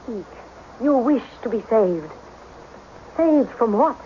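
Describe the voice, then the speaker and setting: A woman's voice: a little high, lively intonation, slow. A mysterious mystic lady, insistent n solemn, old radio drama, dull sound w bit of hiss. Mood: solemn, hypnotic. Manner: insistent, commanding, solemn incantatory phrasing. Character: intense mysterious woman, mystic who probes troubled souls. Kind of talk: vintage radio drama acting. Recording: dull, faintly noisy old radio recording.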